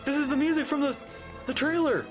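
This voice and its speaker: A woman streamer giving casual live reaction commentary on a game, with excitable, playful asides. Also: high-pitched, fairly quick, over music.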